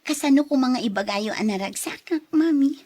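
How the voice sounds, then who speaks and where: Woman playing bashful young woman, audio drama, coy, hesitant, high pitch, husky tone. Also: lively intonation, normal speed, warm tone. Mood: embarrassed. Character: bashful young daughter, coy about love.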